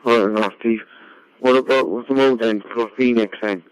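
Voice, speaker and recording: A man chatting as an amateur radio operator over a digital radio link, talking technically in a halting, repetitive way. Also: medium pitch, fairly even intonation, clear, resonant tone, no echo. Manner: halting, repeats words. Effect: digital ham radio transmission. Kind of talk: casual radio contact chat, technical. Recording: dull, band-limited amateur radio audio.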